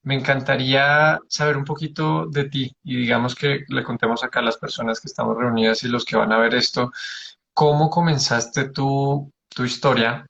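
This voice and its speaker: A man's voice: mid-range voice, little pitch movement, normal speed, vocal fry, a bit bright, dull audio. A man hosting a conversational interview, engaged with his guest and putting questions to them. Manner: engaged, often affirming the guest. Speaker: interview host.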